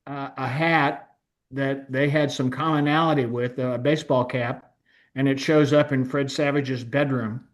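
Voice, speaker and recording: Guy in a film club chat, kinda halting and thoughtful, average pitch. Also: steady tone. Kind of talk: casual group discussion.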